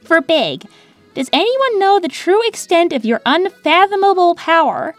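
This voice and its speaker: A woman's voice: extremely high pitch, very animated, normal speed, soft background music. A woman voicing a young female cartoon hedgehog, peppy and touchy, with a sarcastic, girlish manner. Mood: baffled. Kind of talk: cartoon character answering fan questions.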